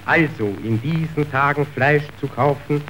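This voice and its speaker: An actor playing a formal messenger from the stock exchange, declaiming verse in a radio drama. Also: male voice, fairly high pitch, a little slow, fairly bright tone, slight background noise, low-quality recording. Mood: grave, formal.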